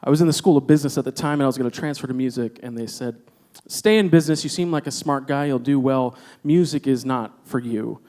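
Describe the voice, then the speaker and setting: A singer from Puerto Rico talking earnestly between songs on a concert stage, pausing often with fillers. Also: male voice, mid-range voice, a little mellow. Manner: earnest, halting, with many fillers. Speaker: guest singer.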